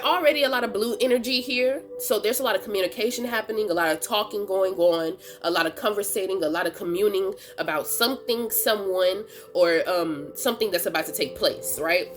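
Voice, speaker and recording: Stuffy-nosed woman, chatty tarot reading, slangy, laughs a lot. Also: medium pitch. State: stuffy nose from a cold. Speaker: tarot reader and channel host. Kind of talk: casual tarot reading chat.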